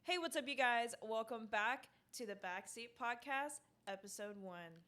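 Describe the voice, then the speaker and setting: A young woman in her twenties with a fairly high, slightly gravelly voice. She chats and jokes loosely as a podcast co-host. She giggles between lines. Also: normal speed, pushed and bright. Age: 20s. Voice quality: rough, gravelly. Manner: joking, giggly, chatty. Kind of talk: casual chat.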